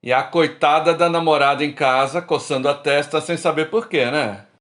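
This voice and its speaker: A man's voice: on the high side, normal speed, fairly bright tone, close to an everyday voice. A man with a Brazilian accent playing a tipsy middle-aged friend, talking colloquially and frankly in a radio drama. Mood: teasing, tipsy. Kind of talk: radio drama acting, casual bar chat.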